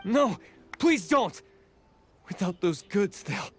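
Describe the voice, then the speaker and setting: A guilt-ridden blue oni outcast. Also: male voice, high-pitched, sing-song, slow, faint music behind, full character voice. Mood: pleading. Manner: quiet, ashamed, pleading. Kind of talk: video game voice acting.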